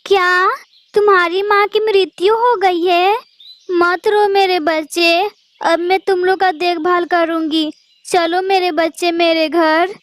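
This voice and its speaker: A woman's voice: very high-pitched, steady tone, slow, no room sound, voice pushed up. A woman voicing a tender, motherly female pigeon in a Hindi cartoon. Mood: shocked, tender.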